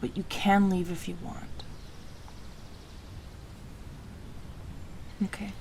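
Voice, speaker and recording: A woman acting an insecure, hurt but tender young girlfriend in an intimate audio roleplay, speaking haltingly and with raw emotion. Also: medium pitch, flat delivery, creaky, mellow, a little hiss or hum, close to an everyday voice. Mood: subdued, weary.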